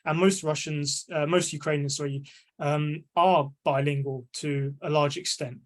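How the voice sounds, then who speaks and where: A man's voice: on the high side, steady tone, measured pace. A young man on a video call, giving a thoughtful panel answer with frequent ums and restarted phrases. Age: young adult. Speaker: panelist, media analyst.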